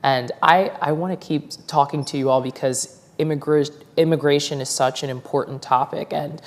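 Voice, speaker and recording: Woman, panel moderator, extremely low pitch, measured affirming questions, reverberant hall. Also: steady tone. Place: university forum hall.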